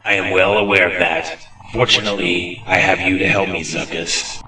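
An actor playing a ruthless, weary bounty hunter in a space drama, formal and deliberately menacing. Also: male voice, low-pitched, expressive, gravelly, background noise. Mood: coolly threatening. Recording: echoey, distant, music underneath.

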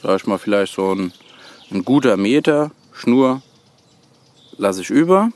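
Slow-spoken, low-voiced man. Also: expressive, a little mellow. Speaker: host of a bushcraft tutorial channel. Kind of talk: hands-on how-to demonstration. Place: outdoors on a woodland floor, birdsong behind.

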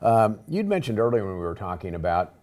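A man's voice: fairly low pitch, big pitch swings, fairly quick, a little mellow. An older American man hosting a local TV interview in a studio. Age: older, around 60s. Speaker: TV program host. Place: small TV studio, indoors. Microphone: lapel mic.

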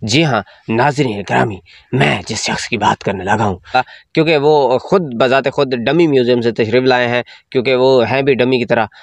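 A man's voice: medium pitch, moderate pace, no room sound. A young man hosting a spoof interview, teasing his guest in formal phrasing and laughing at his own jokes. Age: young adult. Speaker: comedy host. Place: small indoor studio with patterned backdrop.

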